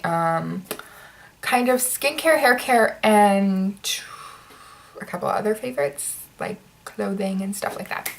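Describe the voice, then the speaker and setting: A woman vlogger chatting casually through her product favorites, sounding slightly stuffy and nasal, with lots of ums and likes. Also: mid-range voice. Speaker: beauty vlogger.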